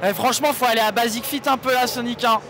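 A man's voice: very high-pitched, fairly even intonation, quick pace, bright, forceful tone, faint music behind. A man in his twenties co-hosting a vlog with slangy, teasing chat into a handheld mic at a convention. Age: 20s. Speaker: vlog co-host. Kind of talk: casual joking chat. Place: large convention hall. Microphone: handheld microphone. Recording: faint convention noise behind.

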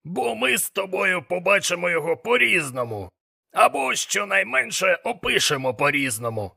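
A man playing a gruff, worldly-wise demon mentor with a rasping voice and a sardonic, lecturing manner. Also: high-pitched, very animated, moderate pace, pushed and bright, exaggerated voice. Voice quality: gravelly, rasping.

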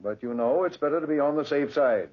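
A calm police inspector in an old radio play, asking polite, methodical questions. His husky voice moves with a lively lilt. The sound is thin and narrow, like an old broadcast. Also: on the high side, fast. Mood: polite, probing. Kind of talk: 1940s radio drama acting.

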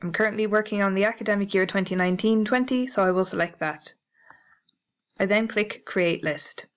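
A woman with a British accent narrating a library software tutorial, clear and methodical, spelling out codes letter by letter. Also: mid-range voice, a little fast. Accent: British English. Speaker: library staff trainer. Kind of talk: instructional screencast narration.

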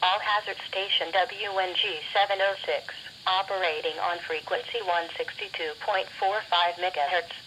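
Woman announcer, lowish pitch, formal scripted station ID, weather radio speaker, clear background noise. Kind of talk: recorded station identification. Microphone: camera held above radio. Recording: noisy, radio speaker re-recorded.

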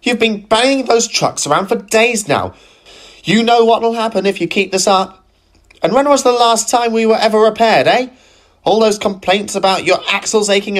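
A man's voice: high voice, a bit bright, voice pushed up. Man doing a fussy old girl engine. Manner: patient but nagging. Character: fussy old female engine, anxious and scolding.

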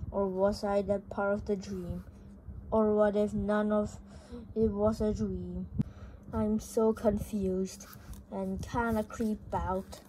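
A high-voiced reader reading a comic story aloud haltingly, stumbling over words, in an amateur home recording. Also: dark tone, slight background noise, low-quality recording. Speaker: video host reading a book aloud.

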